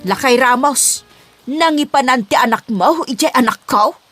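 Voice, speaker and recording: A woman with an Ilocano Filipino accent playing a brash, loud, confrontational village mother in a comedy drama. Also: sits high, normal speed, faint music behind. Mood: angry, demanding. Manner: loud, scolding, insistent. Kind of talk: amateur comedy drama acting.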